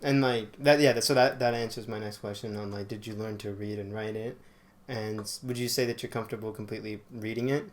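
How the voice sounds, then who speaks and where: A man's voice: fairly low pitch, fairly even intonation, a little fast. A man interviewing a friend, asking loose, friendly questions with "like" fillers.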